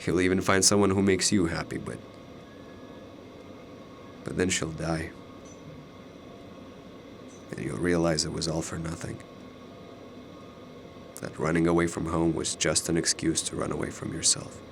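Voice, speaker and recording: An actor in a radio drama playing a mysterious older alternate self, fatalistic and persuasive, speaking in a deliberate, ominous way. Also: male voice, low-pitched, steady tone, creaky, noisy background. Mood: grave, foreboding.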